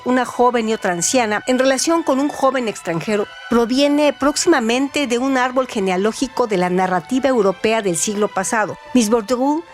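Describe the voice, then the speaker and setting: A woman reading scripted literary trivia formally as a radio presenter. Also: on the high side. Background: background music.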